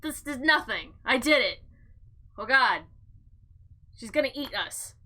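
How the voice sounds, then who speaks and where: A woman gives expressive commentary on a game she is playing. Her voice is slightly high and bright, with very lively pitch. She is informal and theatrical as she reads and reacts. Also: a little slow. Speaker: gaming video host. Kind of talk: game playthrough commentary with dramatic read-aloud.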